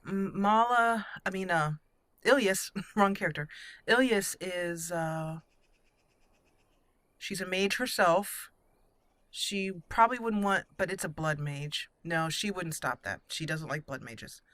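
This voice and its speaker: A woman hosting a roleplay let's play, thinking aloud in a deliberate way as she comments. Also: lowish voice, a bit bright, dry recording. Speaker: gaming channel host.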